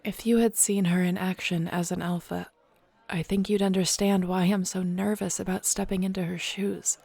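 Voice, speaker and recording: A woman's voice: lowish voice, level tone, normal speed, vocal fry, a little mellow, breathy edge, dry recording. A woman playing a nervous, affectionate young werewolf about to lead her clan, in an audio roleplay. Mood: nervous, tender. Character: nervous young female werewolf shifter becoming clan Alpha, affectionate, teasing. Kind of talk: intimate audio roleplay acting.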